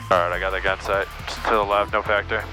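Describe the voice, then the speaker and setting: Male flight instructor, low voice, calm coaching over a headset, music bed underneath. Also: fast. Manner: calm, coaching, gives short directions. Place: small plane cockpit in flight.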